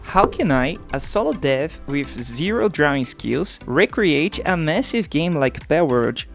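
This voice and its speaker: A man's voice: on the high side, lively intonation, a little slow, vocal fry, soft background music. A young man from Brazil, Portuguese native, with a Brazilian accent, narrating his game devlog in a playful, self-mocking way. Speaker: solo game developer. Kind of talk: scripted devlog voice-over.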